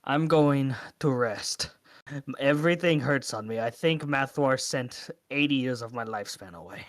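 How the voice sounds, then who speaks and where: A man's voice: mid-range voice, normal speed, no echo. Man roleplaying at a game, dry close sound. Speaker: tabletop roleplay player. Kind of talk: casual in-character roleplay banter.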